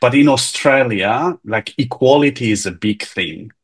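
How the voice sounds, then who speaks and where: A man's voice: mid-range voice, measured pace, slightly rough. A man from Poland with a Polish accent, speaking up in a discussion in a chatty way, with some stammered restarts. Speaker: participant. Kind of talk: casual discussion.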